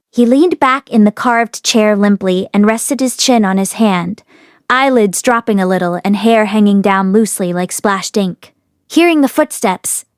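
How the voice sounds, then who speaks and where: A woman narrates a novel in a fairly high voice, reading from the text as an audiobook reader. The sound is very dry, with hardly any room around it. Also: no echo.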